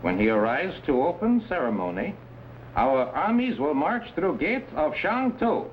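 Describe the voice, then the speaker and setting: High-voiced, treacherous warlord. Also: male voice, very animated, a little slow. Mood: imperious. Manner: grandiose, stilted English with dropped articles. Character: ambitious, treacherous Chinese warlord plotting a coup. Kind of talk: 1950s TV adventure serial acting. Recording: dull old broadcast recording with hiss.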